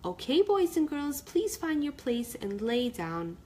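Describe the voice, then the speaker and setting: A woman's voice: high-pitched, big pitch swings, unhurried, a little mellow, pitched above the performer's own voice. A woman voicing a patient, encouraging female bear schoolteacher in a storybook.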